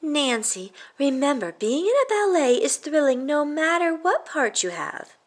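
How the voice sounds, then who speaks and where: Woman playing a gentle storybook mother, high voice. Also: very animated, measured pace. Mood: reminding. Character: gentle, reassuring mother of a young girl.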